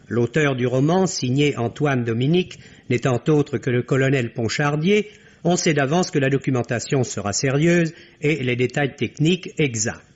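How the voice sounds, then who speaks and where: A man reading a scripted film review in the formal, wry manner of 1950s French radio. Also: medium pitch. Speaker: film critic. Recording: dull vintage radio recording.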